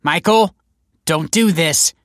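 A man's voice: a little high, takes it slowly, a bit bright, no echo, studio quality, voice pushed up. A man voicing a stern, cold female police detective in an audiobook story, commanding and clipped. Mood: firm warning.

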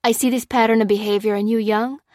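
A woman's voice: mid-range voice, brisk, no echo, studio quality, natural voice. A woman voicing a bitter, hardened male soldier who growls and rants accusations. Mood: angry ranting. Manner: growling, ranting, hurling blame. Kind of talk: audiobook character reading.

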